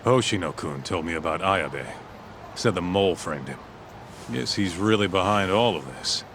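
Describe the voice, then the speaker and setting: A man's voice: low-pitched, animated, normal speed, fairly bright tone, a little hiss or hum. An actor playing a brash, streetwise tough guy in a video game, blunt and colloquial. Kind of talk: video game cutscene acting.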